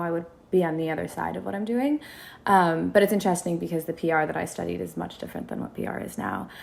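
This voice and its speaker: A woman in her twenties from Minneapolis talks through her story as a podcast guest. Her voice sits low and turns gravelly. She is chatty and restarts her sentences often. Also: a little mellow. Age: 20s. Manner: chatty, many restarts and fillers. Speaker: guest content creator. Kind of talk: casual interview chat.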